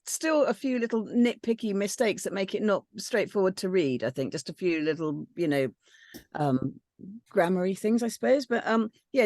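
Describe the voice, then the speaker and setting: A woman's voice: medium pitch, a little mellow, dry recording. Hesitant woman giving feedback, dry close sound. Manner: hesitant, tentative, circling phrases. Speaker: script panel member giving feedback. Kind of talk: casual discussion.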